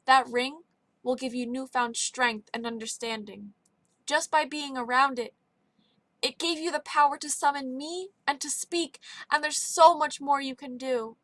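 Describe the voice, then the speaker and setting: Bright-voiced woman reading a story. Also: high voice, fairly even intonation, measured pace, no echo. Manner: emotive, dramatic reading. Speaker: audiobook reader. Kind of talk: storybook narration with character voices.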